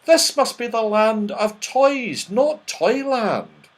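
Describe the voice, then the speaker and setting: A man voicing a brisk, scolding female fairy in a children's storybook, emphatic and correcting. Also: high voice, lively intonation, pitched above the performer's own voice. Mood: chiding.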